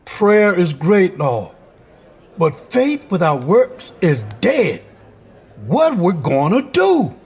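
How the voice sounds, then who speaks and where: A man's voice: high-pitched, big pitch swings, takes it slowly, darkish tone, breathy edge, full character voice. A man playing a frustrated, outspoken neighborhood resident in an audio drama, exasperated and cynical.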